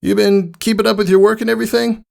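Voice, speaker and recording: A man's voice: sits high, steady tone, fast, gravelly, voice pushed up. A man voicing a brash, cocky female pegasus pony mentor in a storybook reading, with a casual, boastful way of talking. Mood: awkward, unsure. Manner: boastful, casual, hesitant small talk.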